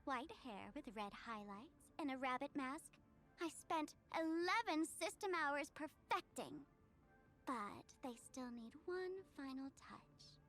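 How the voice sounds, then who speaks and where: A woman's voice: high-pitched, lively intonation, takes it slowly, fairly bright tone, faint music behind, heavily acted. A woman playing a playful, theatrical young streamer trickster in an anime-style video game, teasing and showy. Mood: smug, playful. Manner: teasing, performative, sing-song showmanship.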